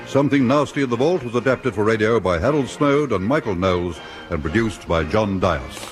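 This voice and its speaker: A man reading scripted broadcast credits as a formal, measured announcer. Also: lowish voice, animated. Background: faint music.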